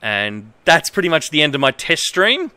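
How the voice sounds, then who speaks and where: An Australian man with a high, forceful voice streams from home. His pitch swings around in an exaggerated read-aloud delivery, broken up by incredulous commentary. The sound is close and dry. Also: wildly swooping pitch, normal speed, clean tone, no room sound. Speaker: livestreamer. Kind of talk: reading aloud with amused livestream commentary. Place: indoor home streaming setup.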